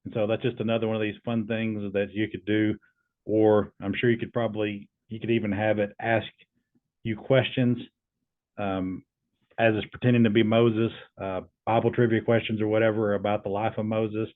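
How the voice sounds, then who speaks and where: A man talks casually as a host, in a lowish voice with little melodic movement. His delivery is low-key and halting, and the sound is narrow and tinny, like a phone line. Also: flat delivery. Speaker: video host testing an AI voice. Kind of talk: casual explanatory chat and questioning.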